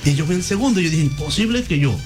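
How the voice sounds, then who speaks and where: A man, a singer telling his story in a casual interview, animated and quoting others. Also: on the high side, rapid-fire, over music. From Chiriguaná, Cesar, Colombia. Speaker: singer guest.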